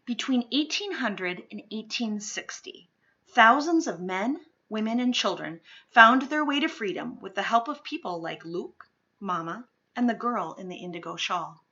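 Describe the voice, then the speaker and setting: A woman reading a children's picture book aloud expressively, with deliberate phrasing, dramatic pauses and emphasis. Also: mid-range voice, lively intonation, bright, forceful tone, highs cut off. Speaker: read-aloud storyteller.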